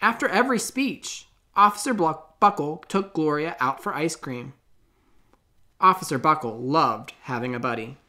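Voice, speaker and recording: A man narrating a children's storybook aloud in an expressive, clearly phrased way. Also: a little high, normal speed. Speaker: storybook reader.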